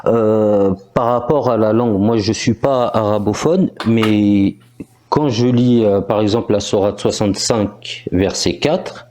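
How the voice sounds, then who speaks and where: A man's voice: on the low side, steady tone, measured pace, darkish tone. A man on a live online voice call making a brief, blunt, matter-of-fact comment. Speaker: debate panelist. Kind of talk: casual live-stream discussion.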